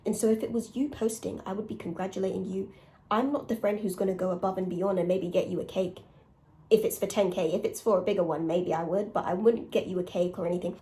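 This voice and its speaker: A young woman with a raspy voice, talking candidly and conversationally in a casual solo confessional, with emphatic points. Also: medium pitch, mellow. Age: young adult. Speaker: podcast host and content creator.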